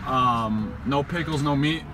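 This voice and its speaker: A young American man chatting casually and deadpan from inside a car, playing the prankster at drive-thrus. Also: medium pitch, unhurried, noisy background. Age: young adult. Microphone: passenger's handheld camera close by.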